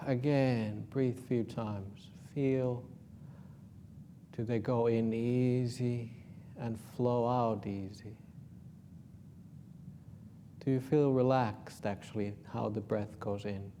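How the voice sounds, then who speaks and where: Finnish-accented monk guiding meditation, mellow voice. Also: male voice, mid-range voice, steady tone, slight background noise. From Finland. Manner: informal and gently joking, with soft, slow guiding phrases. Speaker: Buddhist monk leading a meditation retreat. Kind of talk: guided meditation talk.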